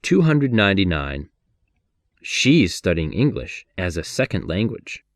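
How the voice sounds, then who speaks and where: Low-voiced American man reading drills. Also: sing-song, no echo, clean studio sound. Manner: clear, measured reading. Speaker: English lesson reader. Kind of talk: numbered sentence reading for repetition practice.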